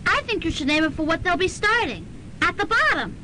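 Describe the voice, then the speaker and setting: A woman's voice: extremely high pitch, sing-song, brisk, pushed and bright, full character voice. A woman playing an eager, naive little orphan boy with wide-eyed gee-whiz exclamations in a radio drama. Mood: eager, innocent. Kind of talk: old-fashioned radio drama acting. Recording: noisy amateur student radio recording.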